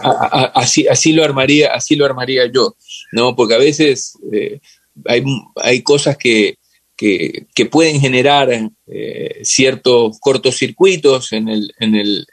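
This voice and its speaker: A man's voice: mid-range voice, dry recording. A man with an Ecuadorian accent talking casually and reflectively as an interview guest, searching for words and often repeating phrases. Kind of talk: casual, reflective football discussion.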